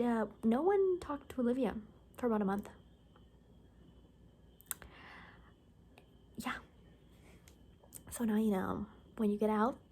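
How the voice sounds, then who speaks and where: Actress as gossipy survivor hairdresser, female, ASMR roleplay. Very soft, warm tone, halting casual delivery, half-whispered, slight noise behind. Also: medium pitch, close to an everyday voice. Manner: hushed, often slipping into whisper, casual and halting. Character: gossipy young woman, zombie apocalypse survivor cutting hair. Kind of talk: soft-spoken ASMR roleplay, improvised chatty storytelling.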